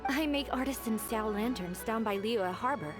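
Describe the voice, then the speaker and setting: A woman's voice: a little high, normal speed, lightly acted. An actress playing a polite, businesslike female lantern maker in a video game. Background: game music.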